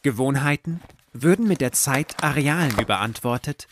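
A man reading scripted literary narration for an audio drama in a measured, deliberate storytelling manner. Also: medium pitch, animated, slight background noise.

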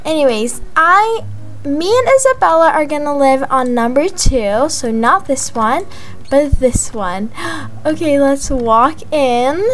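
A woman narrating a roleplay house tour in a casual, gushing manner, with excited exclamations. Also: high voice, soft background music. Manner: gushing, breathy gasps of amazement, drawn-out exclamations. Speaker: gaming video creator. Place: voice-over of gameplay, music behind.

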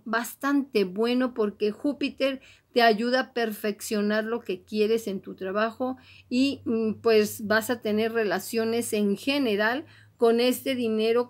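A woman card reader speaking straight to the listener in an encouraging, exhorting manner, repeating her urgings as she gives a motivational horoscope reading. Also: fairly high pitch. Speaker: tarot and oracle card reader, host.

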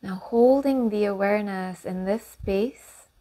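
Woman, meditation guide, slow pace, mid-range pitch, calm instruction, long pauses, affirmations.

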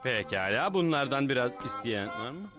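A man's voice: medium pitch, very animated, moderate pace, over loud music, close to an everyday voice. A man voicing a big, bombastic, simple-minded superhero in a dubbed cartoon. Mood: boastful. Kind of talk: dubbed cartoon hero acting.

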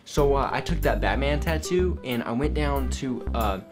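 A man's voice: medium pitch, lively intonation, normal speed, over loud music. An eighteen-year-old man vlogging in his bedroom, talking in a goofy, boastful, rambling way. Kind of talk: comedy vlog. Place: bedroom with gaming setup.

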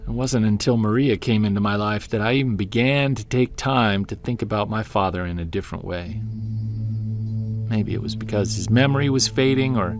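A middle-aged, brooding Bigfoot investigator, played by a man in an audio drama. His voice sits fairly low and he talks in a measured way, with prominent music underneath. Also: natural voice. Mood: bitter, regretful. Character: brooding male Bigfoot investigator, bitter and obsessive. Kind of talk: audio drama monologue and dialogue, confessional recorded journal.

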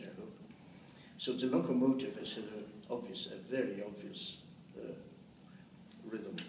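An elderly man in his eighties, a translator presenting and reciting verse expressively, with rhythmic emphasis. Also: fairly low pitch. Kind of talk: expressive poetry recitation with spoken commentary. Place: indoors, meeting room. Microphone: camera or phone a short distance away. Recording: roomy, distant mic with faint noise.

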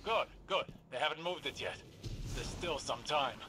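An actor voicing a solemn, encouraging commander in a video game, speaking in a measured, resolute, formal way. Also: male voice, on the high side, over music, close to an everyday voice. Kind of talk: video game dialogue acting. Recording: clear game noise behind.

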